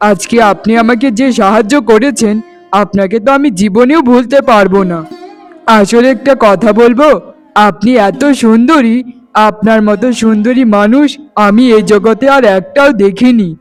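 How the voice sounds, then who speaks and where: Man acting as young cartoon shepherd, high voice, earnest, lovestruck, pleading, music underneath. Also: normal speed, natural voice. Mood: admiring. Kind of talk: Bengali fairytale cartoon dialogue.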